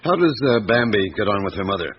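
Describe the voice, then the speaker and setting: An actor with a British accent playing a shrewd, patient middle-aged police inspector in a radio drama, asking blunt questions with hesitant pauses. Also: male voice, fairly low pitch, sing-song. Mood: musing, patient. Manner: probing, laconic, hesitant pauses. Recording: muffled radio recording with background hiss.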